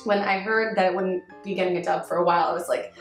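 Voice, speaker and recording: A woman chatting as a panelist in a casual fan review, animated and self-deprecating, acting out her own reactions. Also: on the low side, fast talker. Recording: roomy echo, faint background music.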